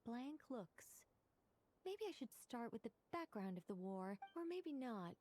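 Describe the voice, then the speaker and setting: A woman's voice: fairly high pitch, very animated, unhurried, a little mellow, slight background noise. A woman voicing a courteous, formal, self-conscious angel in a video game, speaking in a wordy, polite way. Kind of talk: game voice acting.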